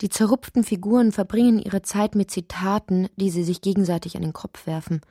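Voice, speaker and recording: A whimsical female storyteller played by an actress for a literary radio play. Her voice is soft-edged and mellow, with a husky grain, at a medium pitch. She narrates as if telling a dreamlike tale. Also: steady tone, a little fast, no room sound, studio quality, lightly acted. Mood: musing, measured.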